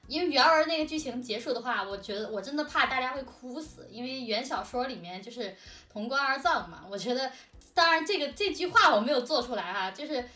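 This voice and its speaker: Woman, higher-pitched, chatty behind-the-scenes explainer, distant roomy mic with music behind. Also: sharp tone. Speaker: audio drama production team member and lyricist. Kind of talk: casual behind-the-scenes chat.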